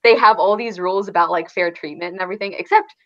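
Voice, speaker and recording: A woman's voice: lowish voice, fast, dull audio. A woman chatting casually in a book discussion, thinking aloud in a hesitant way.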